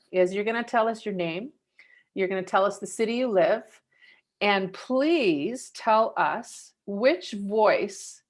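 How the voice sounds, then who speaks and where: A woman runs an online session over a video call, briskly calling on each participant in turn. Her voice sits at a middle pitch and is practical in tone. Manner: brisk, calls on people by name. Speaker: host. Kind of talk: facilitating an online group session.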